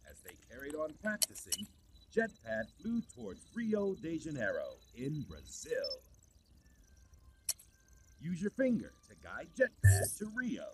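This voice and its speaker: A man reading a scripted children's story in an animated, instructive way. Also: on the high side, unhurried, a little hiss or hum. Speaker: storybook narrator.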